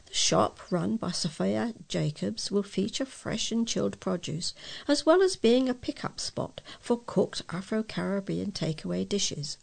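Female volunteer newsreader, mid-pitched, with a gravelly creak and some huskiness. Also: lively intonation, measured pace. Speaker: volunteer local news reader. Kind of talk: reading news articles aloud.

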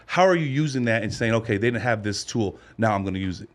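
A man hosting a podcast in casual interview chat, animated and quick to laugh, cheering his guests on. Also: on the low side. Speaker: podcast host.

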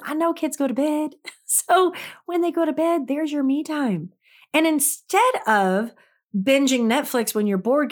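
A middle-aged woman and mother of grown kids, hosting a casual self-help talk in a chatty, folksy way, her throat a little dry from allergies. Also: high voice. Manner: chatty, folksy, addresses listeners directly. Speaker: podcast host.

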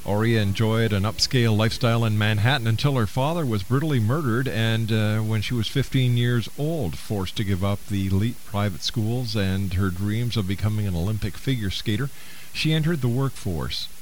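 A man hosting a radio talk show, speaking earnestly and deliberately as he interviews and makes announcements. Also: on the low side. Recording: hissy, noisy broadcast audio.

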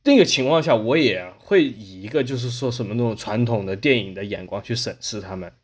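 A man's voice: fairly low pitch, lively intonation, a little fast, husky. A man talking casually as a podcast host, explaining at length and often rephrasing as he goes. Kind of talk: casual discussion.

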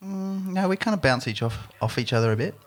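A man's voice: sits high, expressive, fairly quick. A man, a musician guest, chatting casually with a self-deprecating, laughing manner. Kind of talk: casual chat.

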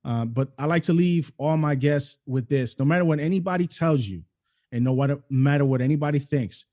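Man, middle-aged, quick pace, mellow dark tone, emphatic podcast host. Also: fairly high pitch. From New York City and Cleveland, Ohio. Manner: emphatic, animated storyteller. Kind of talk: casual interview chat.

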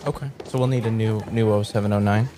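A man playing a dry agency clerk in an improvised audio drama, tentative and deadpan as he reports. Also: fairly low pitch, quick pace, noisy background, close to an everyday voice. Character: unflustered, dry male agency clerk reporting to his superior.

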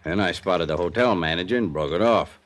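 An actor playing a defensive, streetwise hotel bartender in a 1950s radio drama, talking in a tough, clipped way. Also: male voice, sits low, fairly quick, fairly bright tone. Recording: old radio broadcast with music.

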